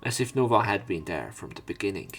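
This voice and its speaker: Low-voiced man doing a visual novel let's play. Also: sing-song, brisk, vocal fry, fairly bright tone, slightly rough, soft background music. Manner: informal, swearing in reactions. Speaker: let's player. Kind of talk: reading visual novel narration aloud with casual reaction commentary.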